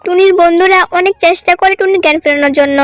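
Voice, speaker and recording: A woman's voice: very high-pitched, fast, no echo, faint music behind. A woman reading scripted narration for a children's cartoon story.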